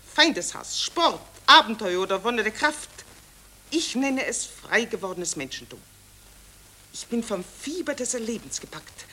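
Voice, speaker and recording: A fervent female war reporter in a satirical radio play. Her voice is husky yet sharp and a little high, declamatory and full of gushing enthusiasm. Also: heavily acted. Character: intense, fervent reporter gushing about battle experience.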